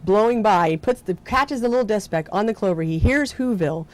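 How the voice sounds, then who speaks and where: A woman theater director with a loud voice, chatting in a TV studio interview and laughing easily. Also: medium pitch, lively intonation, brisk.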